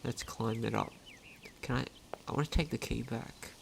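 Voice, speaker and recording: A man's voice: mid-range voice, moderate pace, gravelly, slight background noise. A man doing casual let's play commentary, thinking aloud, repeating phrases and asking himself questions. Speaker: gaming commentator. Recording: game music behind the voice. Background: video game music.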